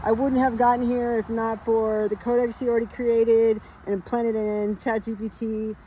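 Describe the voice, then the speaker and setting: A woman's voice: on the high side, little pitch movement, normal speed, mellow, muffled recording, slight background noise. A woman in her late thirties explaining spiritual ideas in a casual, rambling monologue, emphatic and full of fillers. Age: late 30s. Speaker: spiritual vlogger.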